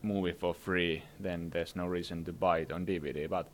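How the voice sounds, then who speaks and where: A man's voice: sits low, steady tone, normal speed, a little hiss or hum. A young man, a filmmaker answering interview questions in a hesitant way with many fillers. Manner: hesitant, many fillers, laughs while talking. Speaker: filmmaker guest. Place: conference summit venue.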